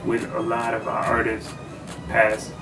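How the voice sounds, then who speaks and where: A man's voice: on the low side, completely flat delivery, measured pace, vocal fry. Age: adult man. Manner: laid-back chat. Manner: laid-back, short repeated phrases. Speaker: rapper, interview guest. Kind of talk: casual interview chat. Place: small dark studio room, indoors. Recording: distant mic with music behind.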